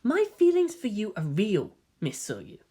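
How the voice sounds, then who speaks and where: Lady doing a pretty soft-spoken teen boy for a story, polite n earnest, expressive pitch. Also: unhurried, slightly rough, exaggerated voice. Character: gentle, elegant, effeminate teenage boy with secrets. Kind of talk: dramatic fan fiction character reading.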